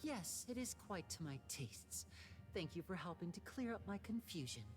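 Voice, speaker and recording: A woman's voice: fairly low pitch, big pitch swings, darkish tone. Suave, condescending young male magician. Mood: coolly satisfied. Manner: polite, condescending, unhurried. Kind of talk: polished video game voice acting. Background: game music.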